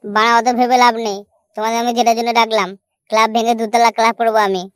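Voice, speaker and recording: An actor voicing a brash, boastful young village troublemaker in a comedy cartoon, loud and scolding. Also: mid-range voice, little pitch movement, fast, clean tone, no echo, clean studio sound, lightly acted. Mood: irritated boasting.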